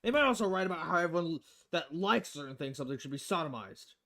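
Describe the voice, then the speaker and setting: A man's voice: a little high, expressive, quick pace, bright, forceful tone. A man voicing a crass, cynical, money-loving newspaper editor in a visual novel, brash and foul-mouthed in manner.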